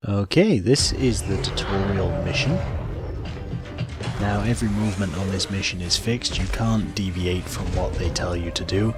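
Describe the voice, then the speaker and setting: A man's voice: low voice, moderate pace, over loud music. A man giving plain-spoken, explanatory commentary on a video game as the channel's host.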